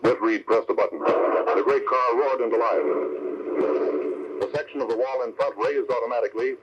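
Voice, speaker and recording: A man's voice: medium pitch, moderate pace. A man delivering dramatic scripted narration for an old-time radio adventure serial. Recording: muffled, noisy old radio recording.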